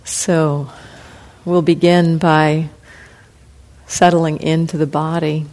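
Woman meditation teacher, deep warm voice, very slow gentle delivery. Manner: slow, soft, calm, with long pauses. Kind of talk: guided meditation.

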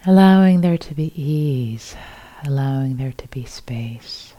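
Very dark, hushed woman guiding meditation. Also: a bass voice, animated, takes it slowly, lo-fi sound. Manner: gentle, hushed, sparse short phrases with long silences. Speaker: meditation teacher. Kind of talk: guided meditation.

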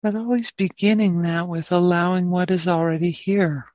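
A woman teaching meditation, gently guiding listeners with long, unhurried pauses. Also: lowish voice, fairly even intonation, dry recording. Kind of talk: guided meditation.

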